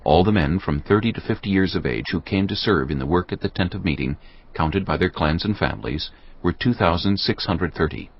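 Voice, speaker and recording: A man narrating scripture from a script in a solemn, measured manner. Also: sits low, lively intonation. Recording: slightly dull, muffled sound.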